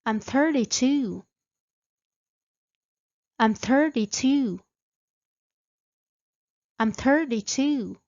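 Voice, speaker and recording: A woman reading short English practice phrases slowly and repeating them, with Vietnamese translations between repetitions. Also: sits high, a little mellow, highs cut off. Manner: very slow, evenly spaced phrases.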